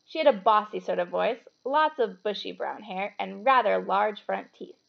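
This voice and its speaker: Female read-aloud storyteller, expressive delivery for children, creaky higher voice, slightly dull recording. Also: normal speed. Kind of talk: expressive children's book reading with casual asides.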